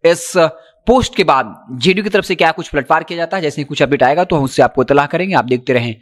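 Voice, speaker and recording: A man's voice: a little high, fast. A man, presenting news commentary into a handheld mic with emphatic, insistent delivery. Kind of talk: news commentary, reporting to camera. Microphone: handheld microphone.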